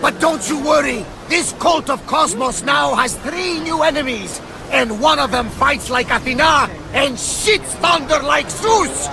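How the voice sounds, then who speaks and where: A hearty, pious old Greek ship's captain in a video game. He booms and exclaims in a gravelly voice pushed very high. Clear ambient noise surrounds him. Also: expressive, measured pace, hardly any pauses, crowd noise, heavily acted. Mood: indignant, rousing. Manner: booming, exclamatory. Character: hearty, devout, blustering older Greek male sea captain. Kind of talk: dramatic video game dialogue. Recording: noisy outdoor ship ambience behind voice.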